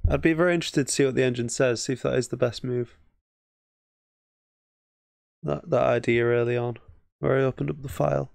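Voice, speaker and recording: English guy doing casual chess commentary, bit of gravel in his voice, muttering to himself, dry close mic. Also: mid-range voice, steady tone, fairly quick, pauses often, a little mellow, no echo. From England. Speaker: chess streamer. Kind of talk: casual thinking-aloud game commentary.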